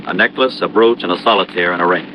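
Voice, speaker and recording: An American actor playing an earnest, trusting, slightly anxious insurance office manager in a 1950s radio drama. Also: male voice, low-pitched, fast talker, close to an everyday voice. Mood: explaining. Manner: earnest, explanatory, conversational. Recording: dull, noisy old radio recording.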